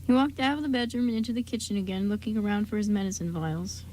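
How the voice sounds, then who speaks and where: A woman's voice: mid-range voice, fast, warm tone, slight background noise, poor audio. A woman reading scripted story narration for an amateur radio drama.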